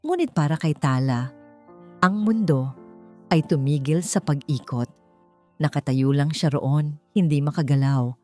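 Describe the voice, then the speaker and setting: Casting: female storyteller, lowish pitch, dramatic scripted romance narration over a music bed. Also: measured pace, pauses often, mellow.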